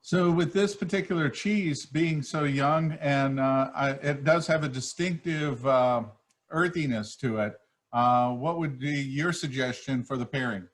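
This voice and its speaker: A man in casual chat, asking questions and giving tasting notes, often hesitating between words, speaking over a video call. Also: medium pitch. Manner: halting, many uh fillers. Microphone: video call mic.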